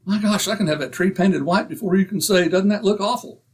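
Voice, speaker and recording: A man's voice: high-pitched, fairly quick, slight background noise, natural voice. A man acting a stubborn, penny-pinching husband in old-time radio comedy, indignant and folksy in manner. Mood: grumbling, scheming.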